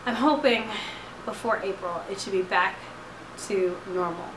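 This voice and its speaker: Slightly hoarse young woman chatting to camera. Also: medium pitch, a little slow, echoey room, background noise. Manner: chatty, rambling, lots of fillers. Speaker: vlogger. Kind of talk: casual chat to camera. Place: living room couch at night. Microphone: camera at close range.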